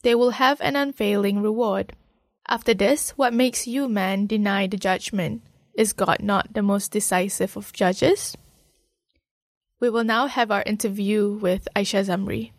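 A woman radio host reading her introduction in a measured, formal way. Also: medium pitch, fairly even intonation, no echo, studio quality. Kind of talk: scripted radio hosting.

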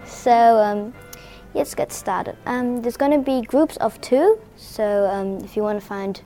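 A woman's voice: mid-range voice, measured pace, mellow, faint music behind, poor audio. An eleven-year-old girl from Belgium chatting hesitantly with many ums while she teaches a group of children how to bake a sweet bread at a community hub. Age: 11 years old. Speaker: young baking tutor. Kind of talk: casual workshop instruction.

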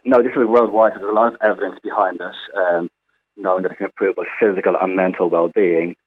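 Deep-voiced Irish man on a phone line. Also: steady tone, fairly quick, slightly rough. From Ireland. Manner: chatty, explanatory, many fillers. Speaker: hospital physiotherapist, guest. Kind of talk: radio phone interview.

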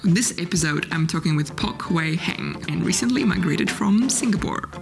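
A woman hosting a podcast from home, asking relaxed questions full of "like". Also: on the low side, moderate pace, gravelly, music in the background. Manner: relaxed, filler-heavy questions. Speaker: podcast host. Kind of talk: casual podcast chat.

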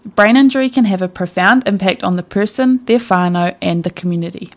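A woman hosts a local radio show. She reads her opening neatly, then asks questions in a relaxed, friendly way. Her voice is of middle pitch. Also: brisk. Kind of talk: community radio hosting.

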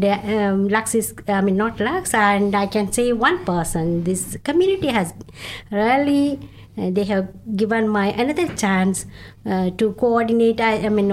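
An older woman with a South Indian accent, a native Tamil speaker, speaking as a live radio guest in an earnest, halting, grateful way. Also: mid-range voice, slight background noise. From Tamil Nadu, India. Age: 60s or older. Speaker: radio guest, community council member. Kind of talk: live radio self-introduction. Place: radio studio.

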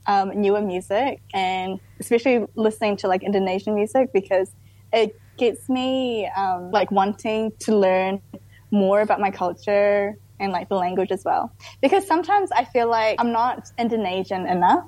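A young woman guesting on a radio show, talking in a relaxed, casual way with lots of filler words. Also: medium pitch, normal speed, no room sound.

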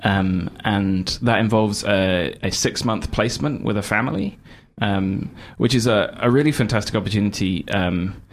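A man from the UK with a British accent softened by New Zealand speech, talking about volunteering with frequent pauses and restarts. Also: lowish voice, a little hiss or hum. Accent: British with New Zealand touches. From United Kingdom. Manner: halting, many fillers and restarts; upbeat and enthusiastic. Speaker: Red Cross volunteer coordinator. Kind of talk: radio interview guest.

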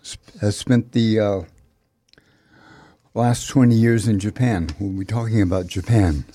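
A man hosting a conversational radio interview in a halting, deliberate way. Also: on the low side, fairly even intonation, dark tone. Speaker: radio show host, interviewer.

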